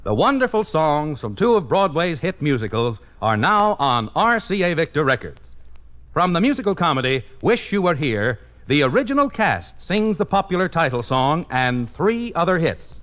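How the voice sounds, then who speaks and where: High-pitched, animated vintage radio announcer man. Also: moderate pace. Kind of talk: 1950s scripted radio commercial announcing. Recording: muffled vintage radio broadcast.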